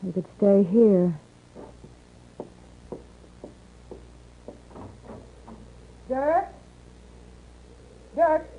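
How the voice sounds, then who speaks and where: An actress playing an outlaw's wary, weary wife in a 1950s radio western, blunt and plain-spoken with a rural American accent. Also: female voice, mid-range voice, sing-song, very slow, heavily acted. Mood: urgent. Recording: muffled, band-limited 1950s radio recording.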